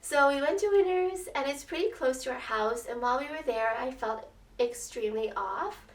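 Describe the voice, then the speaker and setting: A young woman with a slightly raspy voice, telling a personal story in a casual, chatty, expressive way, as a vlogger would. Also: high-pitched, normal speed. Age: young adult. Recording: roomy echo, distant microphone.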